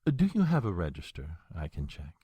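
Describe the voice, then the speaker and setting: An actor playing an old, courtly and menacing male vampire in an audio drama, speaking with a suave, husky voice. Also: low-pitched, moderate pace, dry recording, lo-fi sound, exaggerated voice. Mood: silky. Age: older. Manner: suave, flattering, then threatening.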